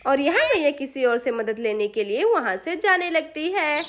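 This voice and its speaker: Lady narrating a kids story, super high voice. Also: lively intonation, moderate pace, noisy background. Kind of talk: scripted children's story narration.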